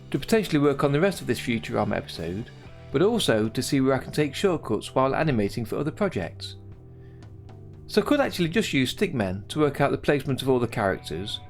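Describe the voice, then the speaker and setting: A man giving a scripted tutorial voice-over as a hobby animator presenting his own project. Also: medium pitch.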